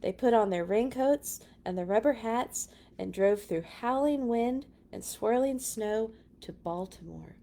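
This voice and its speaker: A woman's voice: a little high, a little slow, hardly any pauses, dark tone, a little hiss or hum. A woman reading a picture book aloud to children, with dramatic emphasis and chatty asides. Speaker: children's read-along storyteller.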